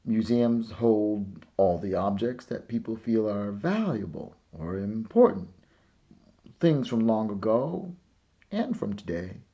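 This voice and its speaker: A man's voice: fairly low pitch, animated, slow, mellow, dull audio. A man voicing a patient, thoughtful old grandfather in a storybook.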